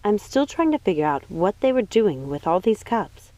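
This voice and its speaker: A woman's voice: medium pitch, very animated, normal speed, mellow, no room sound, close to an everyday voice. A woman voicing a worried, protective young witch in an audiobook, speaking earnestly and with care. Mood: pensive. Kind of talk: audiobook character voice.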